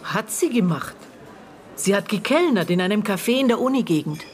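An actress playing a prickly, guarded aunt questioned as a witness in a radio drama, hesitant in recollection and curt in reply. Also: female voice, mid-range voice, expressive, a little fast, close to an everyday voice. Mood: guarded, recollecting.

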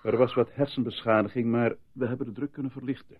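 An actor playing a calm, formal male doctor at a military hospital, soothing and measured, in a radio drama. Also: mid-range voice, phone-quality sound, close to an everyday voice.

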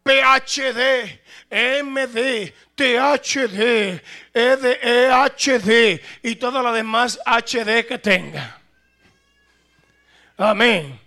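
Male pastor, high voice, very bright and piercing, preaching with sudden shouted peaks. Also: very slow. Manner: swings from conversational to shouted preaching. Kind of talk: sermon.

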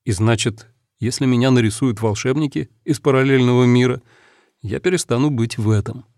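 Calm male storyteller, dry sound. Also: medium pitch, dry recording. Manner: calm, reflective, measured. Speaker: audiobook narrator. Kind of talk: scripted first-person story narration.

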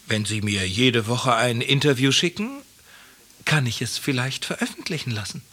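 A man's voice: medium pitch, lively intonation, normal speed, sharp tone. An actor playing a brash young man in a radio drama, who asks pushy, coarse questions. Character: mayor's nephew, brash, prying young man.

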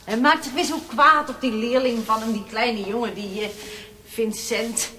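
Fussy, grumbling church housekeeper. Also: female voice, fairly high pitch, steady tone, normal speed, bright, forceful tone, a little hiss or hum. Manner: fussy, complaining. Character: grumbling, practical housekeeper of a village priest. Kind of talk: radio drama acting.

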